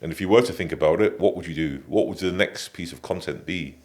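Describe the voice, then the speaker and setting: A man speaking as a coach in a podcast conversation, measured and probing, repeating phrases for emphasis. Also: low voice, a little mellow.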